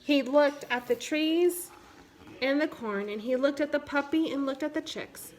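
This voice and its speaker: A woman narrating a children's picture book aloud in measured, simple phrases. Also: sits high, a little hiss or hum, rough recording. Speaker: children's read-aloud storyteller.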